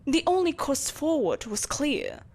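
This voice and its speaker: A woman voicing an ancient, ageless sorceress empress in an audio roleplay, telling her tale in a grandiose, deliberate, condescendingly polite manner. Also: sits high, big pitch swings, darkish tone, full character voice. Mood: grandiose, theatrical. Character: ancient, ageless evil sorceress empress, haughty, ruthless, possessive. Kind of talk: theatrical dramatic monologue, audio roleplay.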